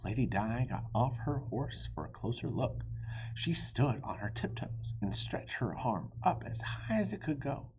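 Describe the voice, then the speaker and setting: A man reading a children's story aloud expressively, with animated delivery and chatty asides to his listeners. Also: medium pitch, lo-fi sound, slight background noise. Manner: animated, pausing to chat to listeners. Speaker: storybook reader.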